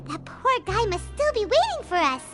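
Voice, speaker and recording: A woman voicing a tiny, childlike floating fairy companion in a video game, squeaky, cheeky, chatty and blunt. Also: very animated, moderate pace, over loud music. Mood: chatty, hesitant. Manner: refers to self in third person, blunt. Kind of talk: video game character voice acting.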